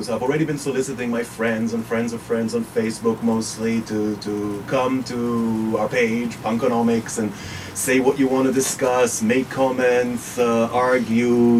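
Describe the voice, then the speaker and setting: A man, an economics professor with a cold, co-hosting a college radio show in chatty, digressive, self-deprecating talk. English is not his first language, and his voice sounds nasal. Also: medium pitch. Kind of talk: casual radio chat. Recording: distant mic with noisy background.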